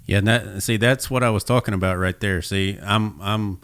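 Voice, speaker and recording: A man with a low, resonant voice hosts a casual podcast conversation. The sound is dry, and he tends to repeat the first words of a sentence as he gets going. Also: steady tone, moderate pace, a bit bright, no echo. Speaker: podcast host. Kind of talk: casual chat.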